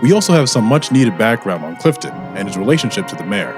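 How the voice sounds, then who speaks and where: A man's voice: lowish voice, very animated, fast talker. A man narrating a scripted story outro as the show's narrator, in a teasing, theatrical manner. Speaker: show narrator. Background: music.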